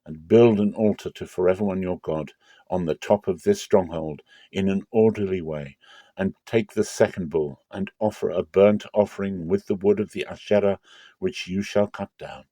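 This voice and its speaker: A man voicing a solemn, commanding God in a measured, authoritative dramatic scripture reading. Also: low-pitched, warm tone, dry recording. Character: God and his angel, solemn commanding divine male.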